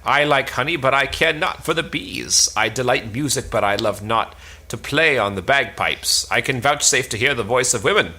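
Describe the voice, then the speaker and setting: A man's voice: mid-range voice, moderate pace, pushed and bright. A man playing a boastful, bloodthirsty would-be knight in a stage comedy, declaiming in a pompous, bombastic manner. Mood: disdainful.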